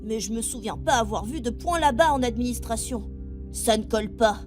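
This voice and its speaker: Une femme qui joue un garçon de sept ans sérieux et inquiet, candide et vite excité, stagiaire spatial dans une fiction audio. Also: female voice, a little high, moderate pace, soft background music, lightly acted. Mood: accusing. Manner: wide-eyed, earnest, excitable. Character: earnest, anxious seven-year-old boy, space crew intern. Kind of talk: audio drama acting.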